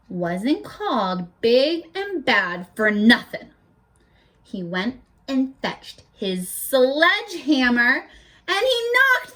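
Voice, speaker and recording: A teacher reading a picture book aloud to children, animated and stopping often to ask them questions. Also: female voice, sits high.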